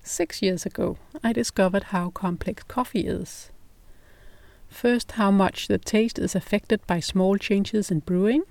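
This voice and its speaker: A middle-aged woman with a Danish accent narrates her podcast in calm, measured English, her voice at an ordinary middle pitch. The recording is very dry and close, with no room sound around her. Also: creaky, dry recording. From Denmark. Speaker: podcast host.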